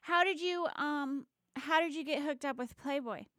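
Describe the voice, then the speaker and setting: A woman's voice: high voice, moderate pace, fairly bright tone, dry recording, studio quality. A woman hosting a casual interview in a chatty, teasing way. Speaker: host.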